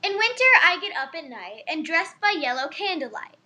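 A woman's voice: very high voice, sing-song, moderate pace, bright, forceful tone. A female co-reader on a children's story show, reciting verse and telling jokes for children.

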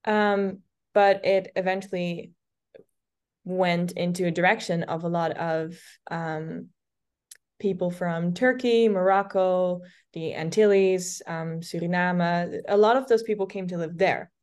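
A woman's voice: on the low side, fairly even intonation, a little slow, a little mellow, clean tone. A woman in her twenties from the Netherlands with a light Dutch accent, whose first language is Dutch, telling stories as a podcast guest with plenty of restarts. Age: 20s. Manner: chatty storytelling, many restarts and fillers. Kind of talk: casual podcast conversation.

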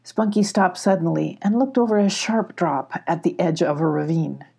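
A woman's voice: fairly low pitch, normal speed, creaky, dark tone, slightly rough. A woman reading a children's story aloud as an audiobook narrator.

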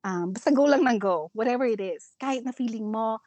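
A woman's voice: a little high, quick pace, a little mellow, no room sound. An older woman with a Filipino accent, chatting as a podcast guest, reflective and full of fillers and restarts. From Philippines. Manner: reflective, many fillers and restarts, laughs easily. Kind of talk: casual interview chat mixing English and Filipino.

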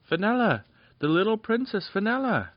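A man piping up as a flock of tiny storybook fairies, squeaky and fussing over each other, their high voices noticeably louder than the reading around them, on a dull, dry recording with little room sound. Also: lively intonation, unhurried, no room sound. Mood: amazed. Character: high twittering crowd of fairies.

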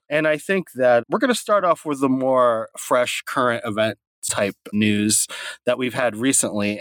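A man's voice: mid-range voice, a bit bright, no echo. A man co-hosting a podcast, chatty and curious, asking the guest questions. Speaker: podcast co-host. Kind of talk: casual podcast chat.

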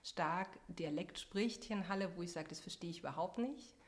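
Informal woman with a lower-pitched voice. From Saarland, southwest Germany. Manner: informal, quick to laugh. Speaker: interview guest, bookshop owner. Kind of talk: casual conversational interview.

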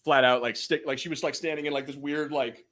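A man co-hosting a podcast, telling stories in a casual, animated, self-deprecating way with some swearing. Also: a little high, fast talker. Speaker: podcast co-host. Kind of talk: casual banter, storytelling.

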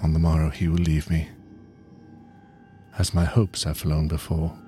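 A man giving a solemn, measured, dramatic reading as narrator of a dark stories podcast. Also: a bass voice, little pitch movement, soft background music.

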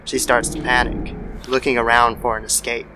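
A man's voice: medium pitch, little pitch movement, moderate pace, noisy background. A man narrating a scripted horror story in the style of old-time radio. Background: background music.

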